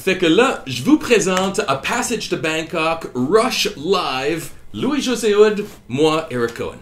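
A man whose first language is English, hosting a casual interview in French with lively questions. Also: on the high side, takes it slowly. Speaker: interviewer and show founder. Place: home music studio full of drums, indoors. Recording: roomy studio with faint noise.

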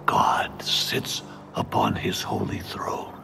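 A man giving a dramatic, reverent psalm reading in a breathy whisper. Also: slow pace. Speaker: scripture reader. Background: music.

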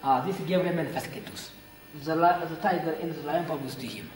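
An Ethiopian man, a church guide, explaining paintings in halting broken English on a visitor's camcorder. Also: a little high, lively intonation, slight background noise. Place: inside a small painted church. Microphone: visitor's handheld camcorder. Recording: echoey church room with loud music behind.

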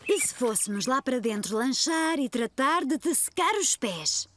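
A woman's voice: sits high, moderate pace, background noise. A woman playing a cheerful, encouraging grandmother in a children's cartoon, with a European Portuguese accent. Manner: encouraging, expressive.

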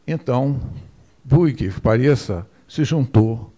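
An older Brazilian man giving a measured history lecture from a lectern microphone in a lecture hall, posing rhetorical questions and asking for the next slide. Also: medium pitch, lively intonation, mellow. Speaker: guest lecturer. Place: lecture hall with projector screen, indoors.

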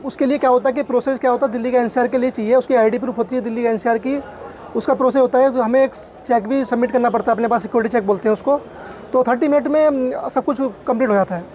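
A man in his thirties pitching camera gear as a salesman, listing products and prices in a quick, repetitive way. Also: a little mellow. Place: busy indoor exhibition hall.

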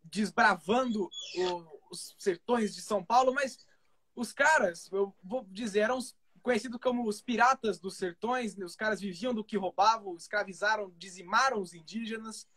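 A man's voice: high-pitched, moderate pace, a bit bright, breathy edge. A man hosting a radio program in a live interview, politely affirming his guest and explaining points at length. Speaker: radio host. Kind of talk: live interview over a video stream.

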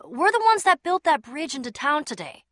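A woman's voice: high-pitched, big pitch swings, moderate pace, fairly bright tone, dry recording, studio quality. A woman playing a brave, sarcastic young adventurer in a video game, with a casual, wry and teasing delivery. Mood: feisty, sarcastic. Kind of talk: animated game dialogue.

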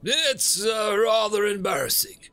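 A man acts out the universe itself as a bashful cosmic being in an audio story. The voice sits high and swings up and down, stammering politely and apologetically as it explains itself. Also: lively intonation, unhurried, bright, forceful tone, soft background music, exaggerated voice. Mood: embarrassed.

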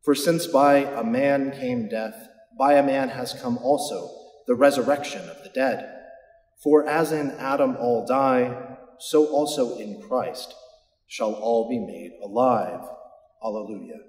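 A man in his thirties recites liturgy as a clergyman, in measured phrases. His voice is mid-pitched and echoes around a large church with a long tail. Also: a little mellow. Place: large stone church, indoors. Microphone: camera a few metres away.

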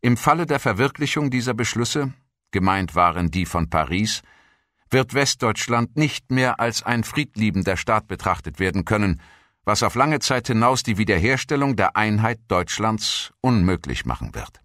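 A man reading scripted documentary narration in a measured, formal manner, like a history audiobook. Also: lowish voice, expressive, fairly bright tone, no room sound, studio quality. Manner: measured, formal read delivery.

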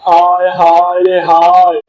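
A man's voice: high-pitched, completely flat delivery, slow, a little mellow, muffled recording, faint music behind. A man voicing an anguished poor village farmer, a husband and father, in a cartoon with plaintive, lamenting delivery. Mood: wailing, despairing. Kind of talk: cartoon voice acting.

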